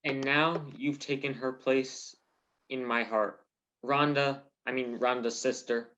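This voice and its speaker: A man's voice: mid-range voice, fairly even intonation, unhurried, a bit bright, close to an everyday voice. A man playing an earnest, anguished, slightly dim husband in a radio soap opera parody. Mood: tender. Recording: dull lo-fi recording.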